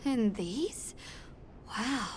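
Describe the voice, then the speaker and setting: High-voiced, earnest young adventurer. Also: female voice, animated, extremely slow, slight background noise. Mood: curious, intent. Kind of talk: video game cutscene acting.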